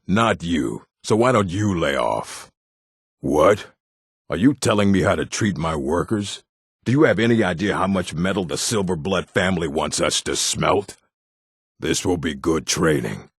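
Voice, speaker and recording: Gravelly, deep, gruff orc. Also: male voice, no room sound, studio quality, full character voice. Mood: gruff, irritated, then challenging, scornful. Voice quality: gravelly, rough. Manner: blunt, curt, stern. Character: gruff adult male orc, blunt proud fantasy warrior folk. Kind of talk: video game character voice lines.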